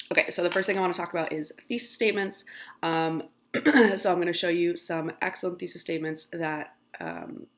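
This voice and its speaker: A young woman teacher with a crackly morning voice, talking casually to her class with lots of ums. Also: on the low side. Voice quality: gravelly. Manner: casual with many ums, encouraging. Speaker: high school teacher. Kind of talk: recorded lesson to students.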